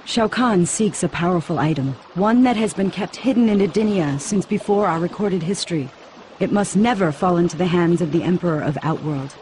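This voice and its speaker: A woman's voice: fairly low pitch, fairly quick, darkish tone, slight background noise, natural voice. An actress playing a regal queen in a video game, gracious and commanding with formal, stately speech. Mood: solemn, commanding. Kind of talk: video game cutscene acting.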